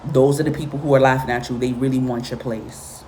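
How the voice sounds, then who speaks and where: Low-voiced woman, spanish first language, doing a reading and cussing a lot, hammering points over and over. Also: fairly even intonation, fairly quick, warm tone, slight background noise. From Guatemala and Honduras. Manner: blunt, profane, emphatic and repetitive. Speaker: tarot and spiritual reader. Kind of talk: casual spoken reading with commentary.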